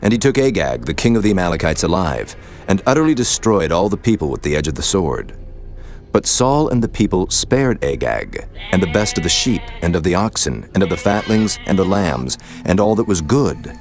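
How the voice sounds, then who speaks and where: A man narrating dramatized scripture in a solemn, formal storytelling manner. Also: sits low.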